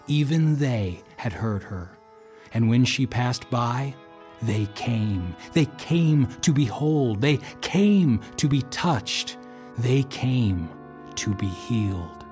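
A man narrating an audio drama with a measured, dramatic delivery. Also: medium pitch, darkish tone.

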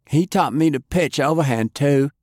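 A man's voice: a little high, moderate pace, a little mellow, no echo, pitched above the performer's own voice, lightly acted. A skinny, spirited country girl, acted out by a man, taunts in a folksy, cheeky way. The voice is lifted well above the narrator's own, recorded very dry for an audiobook. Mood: excited.

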